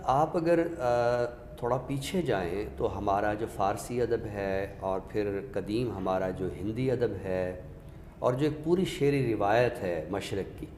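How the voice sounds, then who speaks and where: Echoey Pakistani man, scholarly and deliberate. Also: fairly low pitch, a little mellow. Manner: scholarly, deliberate, explains by question and example. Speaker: literary expert on a talk show. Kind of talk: explanatory literary discussion. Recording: echoey hall reverb with background noise.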